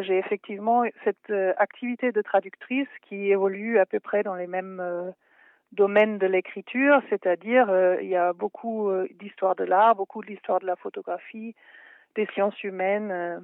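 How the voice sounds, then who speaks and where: A woman from Germany, native German speaker, answering interview questions in French with a German accent, hesitant and full of filler pauses. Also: mid-range voice, steady tone, no echo. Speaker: photography historian and translator. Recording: tinny dry phone line.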